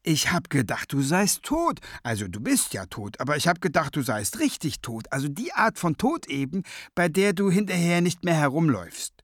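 A man's voice: fairly high pitch, sing-song, normal speed, hardly any pauses, no room sound, clean studio sound, pitched above the performer's own voice. A man plays an eccentric, easily delighted woman in a storybook. Her voice is lifted and lilting, with an airy, scatterbrained way of stating things bluntly and innocently. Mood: puzzled.

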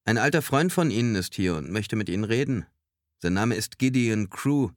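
A calm, fatherly police negotiator acted by a male reader calls out in a raised, deliberate voice. He stays reassuring and avuncular throughout this dry audiobook recording. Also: lowish voice, no room sound, voice pushed up, natural voice. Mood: calm, projecting. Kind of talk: audiobook character acting.

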